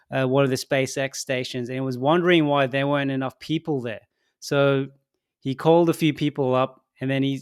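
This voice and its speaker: A young man co-hosting a casual podcast who speaks English as a second language, thinking aloud with pauses and restarts. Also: medium pitch, clean tone. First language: not English. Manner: thoughtful, halting, laughs easily. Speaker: podcast co-host.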